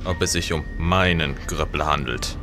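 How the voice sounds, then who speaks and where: A man's voice: rumbling low, fairly even intonation, normal speed, vocal fry, over music. A man playing a big, nervous, shaken traveller in a period crime radio play, speaking in agitated, formal questions. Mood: uneasy, probing. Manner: agitated, questioning, formal old-fashioned speech. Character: big, nervous, shaken male traveller looking for his friend. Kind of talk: German period crime audio drama.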